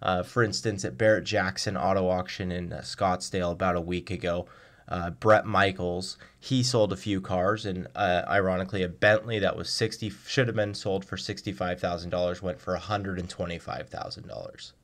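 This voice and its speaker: A man with a deep, low-pitched voice speaks as a guest on a radio interview. He answers questions in a conversational style, explaining his subject as he goes. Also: steady tone, moderate pace, a bit bright. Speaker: guest, car blog writer.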